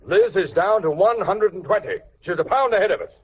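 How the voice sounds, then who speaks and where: Animated blustery radio husband. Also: male voice, sits high, brisk. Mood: urgent, bossy. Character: pompous, bossy older neighbour husband, jovially competitive. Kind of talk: 1940s-50s radio sitcom acting. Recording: muffled, hissy vintage radio recording.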